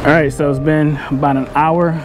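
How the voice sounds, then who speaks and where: A man's voice: a little high, level tone, normal speed, over loud music. A middle-aged man chatting casually and in slang to his viewers as a fishing vlogger, recorded outdoors on a pier. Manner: laid-back, slangy. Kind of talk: casual vlog chat to viewers.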